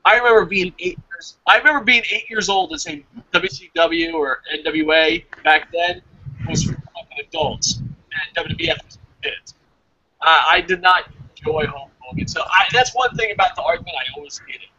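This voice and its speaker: A man's voice: on the high side, moderate pace, bright, forceful tone, slightly rough, highs cut off. An American man chatting casually and emphatically about his opinions as a review show host, swearing freely. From New Jersey, United States.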